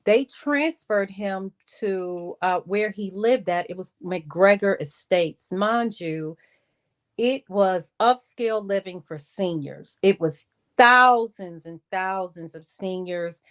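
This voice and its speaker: A woman telling a personal story in a casual livestream style, re-enacting conversations and repeating phrases for emphasis in a halting way. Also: medium pitch. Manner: animated re-enacting of conversations with quoted dialogue.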